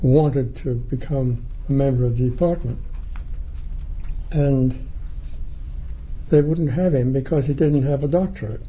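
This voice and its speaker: An elderly man from New Zealand and England reminiscing in an interview, with a halting, reflective, erudite and wryly humorous manner. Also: medium pitch, dark tone, husky, highs cut off. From born in New Zealand, raised in England. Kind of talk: oral history interview reminiscence. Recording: faint background noise.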